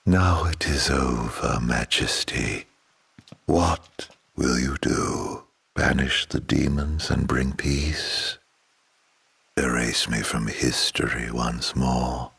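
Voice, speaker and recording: Slow, theatrical gravelly male villain. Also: rumbling low, full character voice. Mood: weary, bitter. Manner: theatrical, drawling, taunting. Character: theatrical, mocking immortal male villain bent on revenge. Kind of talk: dramatic video game voice acting. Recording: loud orchestral music behind voice.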